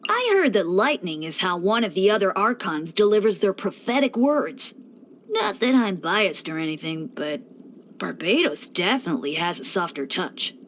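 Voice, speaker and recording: A woman's voice: mid-range voice, slight background noise, close to an everyday voice. A woman voicing a playful young male church deacon in a video game, breezy and teasing with theatrical mock solemnity. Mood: breezy, friendly. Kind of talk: scripted video game character voice lines.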